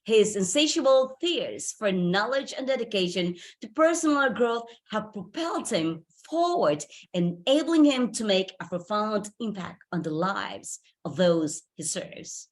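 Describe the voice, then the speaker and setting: A woman's voice: medium pitch, animated, measured pace, hardly any pauses. A woman hosting a club meeting, reading a polished, emphatic introduction of a guest speaker over a video call. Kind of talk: read-out formal introduction.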